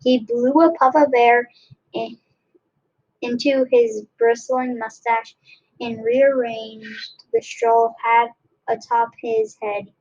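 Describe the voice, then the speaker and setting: A woman reading a children's book aloud expressively, in a deliberate way with dramatic pauses. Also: fairly high pitch, steady tone, darkish tone, highs cut off. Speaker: read-aloud narrator.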